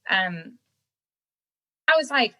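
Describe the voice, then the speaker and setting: A young adult woman with a Southern American accent, telling stories as a guest in a casual interview, animated and laughing often. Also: fairly high pitch, very slow. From Southern United States. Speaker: guest singer-songwriter.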